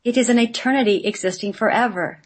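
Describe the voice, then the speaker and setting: A woman's voice: a little high, fast talker. A woman reading a scripted mystical text aloud in a solemn recitation, as a guest on a radio show.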